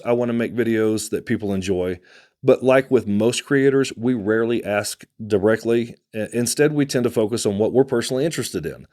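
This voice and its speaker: A man from Texas with a Texan accent, hosting a casual talk to camera, conversational and unhurried, with fillers and restarts. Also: fairly low pitch, a little mellow. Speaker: host.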